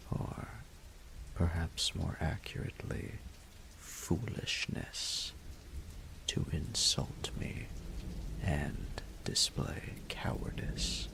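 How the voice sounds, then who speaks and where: Haughty dragon monarch, romance audio drama: very low, slow, half-whispered menace. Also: male voice, sharp tone, full character voice. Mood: indignant, haughty. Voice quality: breathy, gravelly half-whisper. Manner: haughty, formal, deliberate. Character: proud, imperious male dragon ruler, seductively menacing. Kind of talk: scripted romantic audio roleplay.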